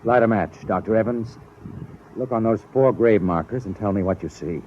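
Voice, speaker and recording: A man acting in a 1950s radio drama as a compassionate, authoritative captain, earnest and deliberate, on a muffled old recording. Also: fairly low pitch, slight background noise, close to an everyday voice. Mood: grave, gentle. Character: compassionate, authoritative male spaceship captain, grave and understanding.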